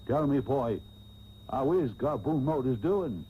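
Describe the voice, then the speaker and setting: A man's voice: mid-range voice, lively intonation, unhurried, lightly acted. A man playing a cranky, blustery, pompous old auto tycoon in a comic radio soap. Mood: indignant. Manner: irascible, old-fashioned slangy bluster. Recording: dull, noisy old radio tape with hum.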